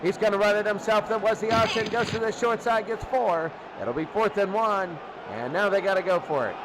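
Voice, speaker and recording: A high-voiced male sports announcer calls American football play by play. He speaks in short, clipped bursts and repeats key calls. A loud crowd and heavy noise surround his voice. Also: brisk. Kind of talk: excited live American football radio commentary.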